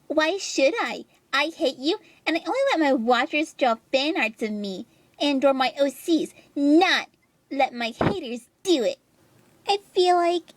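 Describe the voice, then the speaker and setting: A woman's voice: sits high, dry recording, voice pushed up, rough recording. A woman voicing a whiny, aggrieved young female artist, reading out online posts in a melodramatic, complaining way.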